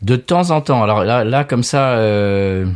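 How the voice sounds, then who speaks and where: A man from France speaks in an animated, enthusiastic manner, often stumbling and repeating words as he gets his thoughts out. His voice has an ordinary pitch and an everyday conversational tone. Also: unhurried. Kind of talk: casual conversation.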